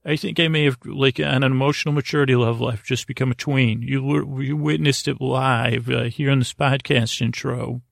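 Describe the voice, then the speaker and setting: A man with a very creaky, gravelly voice talks through a meandering bedtime podcast monologue. He stammers, doubles back and leaves thoughts half finished. The sound is dry and close, with no room echo. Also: medium pitch, fairly even intonation. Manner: meandering, halting, stammering with repeated false starts. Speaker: podcast host.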